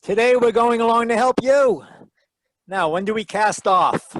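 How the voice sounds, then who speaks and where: An actor playing a wisecracking hard-boiled private detective in a 1940s radio style, with a wry, glib manner. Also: male voice, very high voice, measured pace, a bit bright, low-quality recording. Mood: mock friendly.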